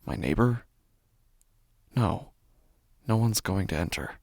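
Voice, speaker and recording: Horror audio drama: male actor for a sleepless, obsessive man, halting and uneasy, gravelly, highly animated intonation. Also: no room sound, clean studio sound, full character voice. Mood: obsessive unease. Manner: halting, hushed, often breaking into whispers. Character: anxious, obsessive man narrating his sleepless nightmares. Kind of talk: first-person horror audio fiction monologue.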